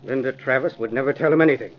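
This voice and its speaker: Male actor as steadfast older father, medium pitch, 1940s radio drama, faint hiss, muffled. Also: rapid-fire, natural voice. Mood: worried, firm. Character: older father, worried, steadfast newspaper man. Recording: muffled, hissy old radio recording.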